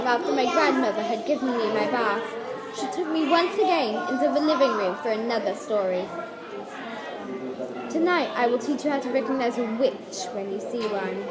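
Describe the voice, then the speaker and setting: A woman reading a children's story aloud, including its dialogue. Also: on the high side. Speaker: book reader at a read-aloud event. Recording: loud crowd noise behind the voice.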